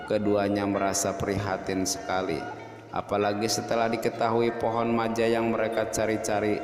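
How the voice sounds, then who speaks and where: Echo-drenched low-voiced male storyteller. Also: completely flat delivery, moderate pace. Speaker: storyteller and host. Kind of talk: scripted folk-tale narration. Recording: echoey long reverb, music behind. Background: music.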